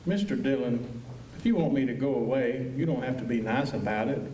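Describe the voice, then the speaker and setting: A man's voice: mid-range voice, brisk, warm tone, a little hiss or hum, close to an everyday voice. An American man playing an earnest, flustered frontier deputy in a Western radio play, folksy, hesitant and polite as he stammers along. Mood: dejected. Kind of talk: stage performance of old-time Western radio drama. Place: high school auditorium stage. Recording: echoey auditorium, distant mic, music behind.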